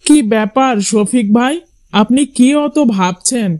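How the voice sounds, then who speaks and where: A dutiful young husband from a cartoon fairy tale, acted by a man. His male voice is extremely high, and he speaks with friendly insistence. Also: a little slow, darkish tone, clean tone.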